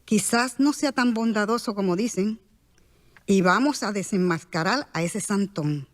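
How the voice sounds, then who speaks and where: A woman's voice: mid-range voice, measured pace, lightly acted. A woman voicing a sly, scheming townswoman in a radio drama, speaking in a conspiratorial way.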